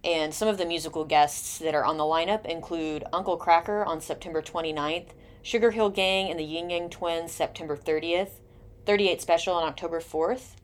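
A woman hosting a radio segment, reading event listings and a beer pick in a brisk, informational way. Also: sits low. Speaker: radio show host. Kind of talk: reading community announcements.

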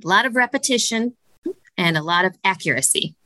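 A woman working as a studio director, answering interview questions in a measured, explanatory way with frequent "um" pauses, recorded on a studio microphone. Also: medium pitch, expressive, pushed and bright, no echo.

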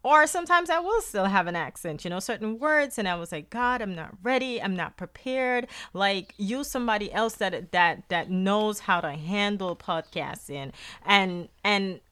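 A woman's voice: mid-range voice, lively intonation, moderate pace, pushed and bright, smooth. A middle-aged woman from Guyana with a Guyanese accent, speaking earnestly in a faith-based podcast monologue. She often repeats words as she restarts a phrase. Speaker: podcast host.

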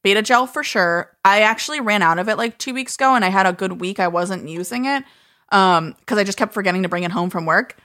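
Bright-voiced woman explaining skincare. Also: medium pitch, fairly quick. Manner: chatty, explains skincare in detail with fillers. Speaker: podcast co-host and skincare professional. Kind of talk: casual podcast chat.

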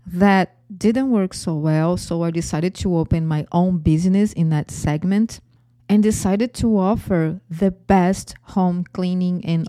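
A woman in her forties with a Brazilian Portuguese accent tells her story at an unhurried pace, often breaking into a small laugh between phrases. Her voice sits low and mellow. It is recorded close and dry, with no room sound. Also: dry recording. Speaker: podcast host. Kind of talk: personal storytelling podcast.

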